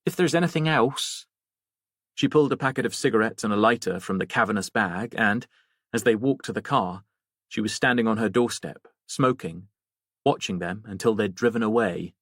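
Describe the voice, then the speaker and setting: A man's voice: on the low side, brisk, fairly bright tone, no room sound, clean studio sound. A man narrating a mystery novel as a scripted audiobook reading.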